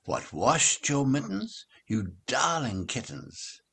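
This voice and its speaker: A man's voice: mid-range voice, sing-song, slow, a bit bright, husky, pitched above the performer's own voice, full character voice. A man voicing a storybook mother cat who exclaims at her kittens in a sing-song, motherly scolding way. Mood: surprised, fond.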